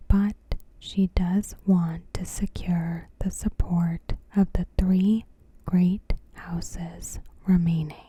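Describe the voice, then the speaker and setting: Very slow, breathy whispering ASMR woman. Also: fairly low pitch. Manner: soft-spoken, unhurried, careful phrasing. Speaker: ASMR creator. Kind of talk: ASMR, scripted episode recap narration.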